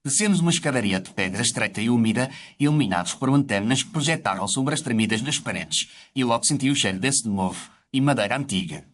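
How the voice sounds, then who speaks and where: A man's voice: medium pitch, lively intonation, quick pace, few pauses, a bit bright. A man narrates a scripted audio story. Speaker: narrator telling the story as a doctor character.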